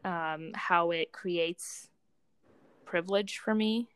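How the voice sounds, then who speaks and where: Smooth, lower-pitched young woman chatting reflectively. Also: slow, fairly bright tone, no room sound. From Romania. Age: young adult. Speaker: podcast co-host, doctoral student in psychology. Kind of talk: casual reflective conversation.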